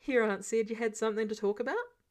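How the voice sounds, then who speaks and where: A woman's voice: on the high side, fast talker, darkish tone, natural voice. A woman voicing a wry, protective grown man, a superhero mentor with a casual, sardonic manner, in a story reading. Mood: careful, casual. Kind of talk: character dialogue in fan fiction reading.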